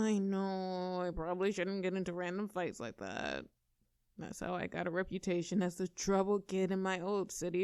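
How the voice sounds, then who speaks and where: A brash, scrappy young girlfriend who brags and swears with easy confidence, played by a woman. Her voice sits at an ordinary middle pitch. The recording is dry and close, with no room echo. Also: darkish tone, close to an everyday voice. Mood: cocky. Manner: cocky, casual, swears freely, laughs between lines. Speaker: audio roleplay performer. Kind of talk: scripted audio roleplay to a listener.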